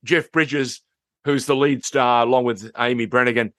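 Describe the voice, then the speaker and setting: A mature man hosts a chatty TV review in a mid-pitched voice. He leans on phrases and repeats them for emphasis. The sound is dry, with very little room in it. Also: fairly even intonation, moderate pace, fairly bright tone, no echo. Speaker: host reviewing TV series. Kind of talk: conversational review chat.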